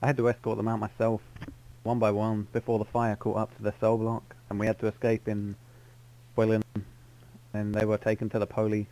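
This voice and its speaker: A man's voice: on the low side, monotone, fairly quick, mellow, rough recording. Guy on game voice chat rambling thru a made-up backstory, keeps correcting himself. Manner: rambling, self-correcting storyteller. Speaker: roleplay player. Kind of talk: in-character roleplay storytelling.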